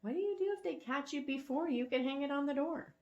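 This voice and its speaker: A woman's voice: high voice, fairly quick, darkish tone, muffled recording, voice pushed up. A woman voicing a smitten young man in a storybook, bashful, sheepish and self-conscious as he courts.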